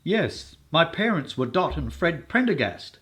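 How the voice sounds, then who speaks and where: A man's voice: a little high, very animated, measured pace, a bit bright. A man playing an eager, polite older suitor in a comic radio soap, earnest and ingratiating.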